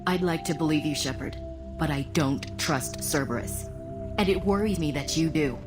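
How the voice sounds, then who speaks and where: Woman playing tough loyal soldier in a game, low voice, earnest and wounded, big music behind. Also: moderate pace, lightly acted. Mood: accusing. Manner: earnest, accusing. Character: proud, hurt, loyal female human soldier. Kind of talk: video game voice acting. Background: loud music.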